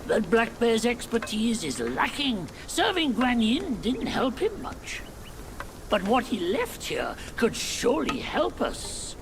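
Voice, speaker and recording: A man's voice: extremely high pitch, huge pitch swings, slow pace, noisy background, full character voice. A man voicing a shrewd old spirit guide with a theatrical, knowing manner in a video game cutscene. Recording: voice under loud game music.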